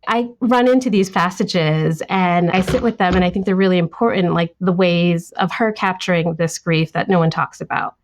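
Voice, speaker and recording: A woman's voice: on the low side, moderate pace, few pauses. A woman author whose first language is Tamil, talking reflectively in a podcast interview. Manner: thoughtful, lively, reads passages aloud. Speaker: author guest.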